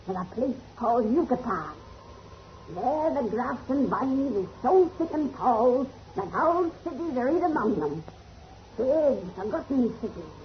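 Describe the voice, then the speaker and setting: A woman's voice: fairly high pitch, a little hiss or hum. Gleeful ancient witch, muffled old radio. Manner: folksy rustic speech, cackling. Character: gleeful ancient witch, 122 years old, telling horror tales. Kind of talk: 1930s radio horror storytelling.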